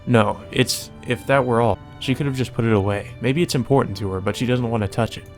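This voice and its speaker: A man's voice: mid-range voice, brisk. A man reading a visual novel aloud and adding casual commentary of his own as a let's player. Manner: slips into character dialogue, ad-libbed asides. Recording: music behind the voice. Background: game music.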